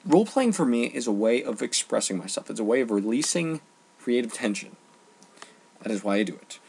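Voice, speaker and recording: A man delivering an informal opinion rant, emphatic and halting, often repeating phrases for stress. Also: medium pitch, big pitch swings, creaky, a little mellow, husky, poor audio. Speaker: online ranter.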